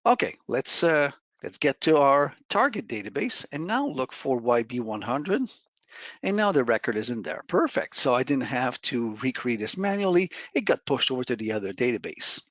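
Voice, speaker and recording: A man walks through software on a shared screen in an informal, conversational manner. His voice sits at an average male pitch. He explains things step by step, and the recording is close and dry, with little room sound. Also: sing-song, moderate pace, no echo. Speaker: software demo presenter.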